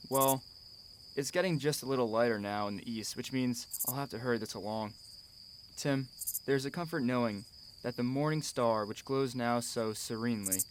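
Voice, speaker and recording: A man plays a gentle expectant father in a radio drama. He speaks earnestly and tenderly, in a reflective way, over faint background noise. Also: mid-range voice, close to an everyday voice.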